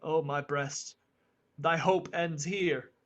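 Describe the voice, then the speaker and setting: A man's voice: a little high, fairly even intonation, slow, fairly bright tone. A young man playing a passionate, grieving nobleman bent on revenge in a radio Shakespeare play. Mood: anguished. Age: young adult.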